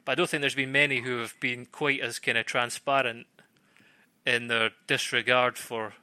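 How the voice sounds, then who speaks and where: A man giving conversational sports analysis as a podcast co-host, halting and correcting himself as he builds his argument. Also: medium pitch, level tone, pushed and bright, smooth, dry recording.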